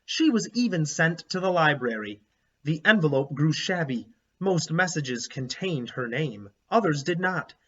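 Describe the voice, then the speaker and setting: Male teacher, children's read-aloud, husky voice, slightly high pitch, expressive phrasing, explanatory asides, somewhat lo-fi recording. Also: measured pace. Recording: slightly dull, lo-fi sound.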